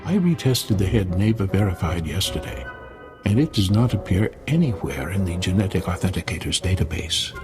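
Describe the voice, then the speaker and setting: A man's voice: sits low, sing-song, normal speed, over music. An actor playing a meek, deferential male museum assistant in a video game, obsequious, hesitant and overly formal. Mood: nervous.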